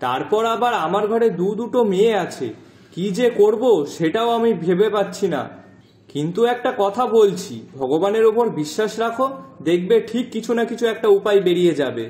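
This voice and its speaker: A man playing a gentle, fatherly middle-aged village fisherman in a cartoon moral story, talking in a soft, reassuring way. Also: high voice, expressive, moderate pace. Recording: roomy echo, music underneath.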